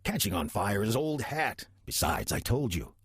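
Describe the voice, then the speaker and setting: Casting: male actor for sardonic demon posing as a man in his sixties, audio drama. Deep, rough-edged, deadpan, closely recorded. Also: no echo. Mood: calm, dry. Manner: dry, deadpan, unhurried sarcasm. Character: wry demon posing as a 63-year-old church usher. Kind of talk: audio drama acting with first-person narration.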